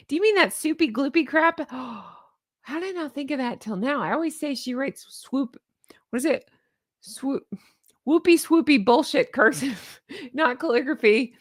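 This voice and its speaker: A woman's voice: sits high, expressive, a little slow, dry recording. A woman in her thirties with a Southern US accent, hosting a chatty, sarcastic commentary vlog from a home studio mic. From American South. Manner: chatty, sarcastic, laughs often. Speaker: YouTube commentary host. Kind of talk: casual reaction vlog. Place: home studio with neon lights. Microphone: studio mic on boom arm.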